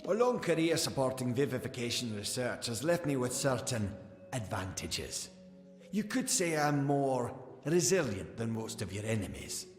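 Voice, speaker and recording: An actor playing a smug, menacing gnome scholar villain in a fantasy game, with a condescending, taunting, theatrical manner. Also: male voice, mid-range voice, big pitch swings, moderate pace, close to an everyday voice. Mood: smug, cold. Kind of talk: scripted fantasy game dialogue. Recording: echoey hall reverb, music behind.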